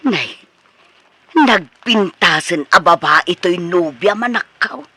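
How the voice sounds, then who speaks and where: Actress as meddling older Filipino mother, sharp bright voice, swooping pitch, teasing, radio comedy. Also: lively intonation, slow pace, no echo, exaggerated voice. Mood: doting, teasing. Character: nosy, doting older mother of a grown son. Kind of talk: comedic radio drama acting.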